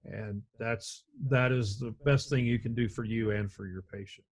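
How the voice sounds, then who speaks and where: A middle-aged man with a deep voice teaching an EMS lecture over a video call, speaking in a measured, conversational way with frequent restarts. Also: fairly even intonation. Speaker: EMS instructor. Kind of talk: recorded slide lecture. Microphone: webcam and computer mic on a video call.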